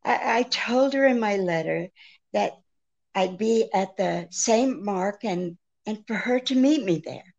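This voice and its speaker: An anxious, genteel woman client in a 1940s-style radio mystery. She pleads hesitantly at a slow pace, faltering often. Her mid-pitched voice has a noticeable creak. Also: animated, a little mellow, lo-fi sound, full character voice. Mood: worried. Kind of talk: 1940s radio drama acting.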